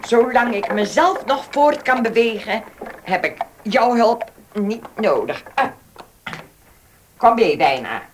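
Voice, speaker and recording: An actress in a Dutch radio play playing a haughty, sharp-tongued older lady of the manor. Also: a little high, lively intonation, slow pace, a little hiss or hum. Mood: indignant, snappish. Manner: bossy, snappish.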